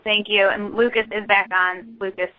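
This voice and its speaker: A woman moderating a press teleconference in a brisk, businesslike way. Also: mid-range voice, steady tone, pushed and bright, slight background noise, poor audio. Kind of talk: hosting a press call.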